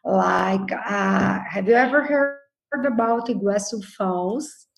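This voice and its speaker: A woman's voice: medium pitch, slow pace, creaky. A woman in her fifties from Brazil with a Brazilian Portuguese accent, answering interview questions hesitantly with many fillers. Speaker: guest, attorney and novelist.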